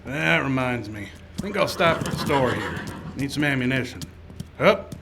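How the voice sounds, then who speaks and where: Male actor as frontier US marshal, creaky voice, steady, unhurried words, radio western, noisy live outdoor stage. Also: medium pitch. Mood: calm, easygoing. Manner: laconic, level-headed. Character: steady, skeptical US marshal in 1870s frontier town. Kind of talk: old-time radio western acting. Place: live radio show in a park. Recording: live outdoor stage, clear background noise.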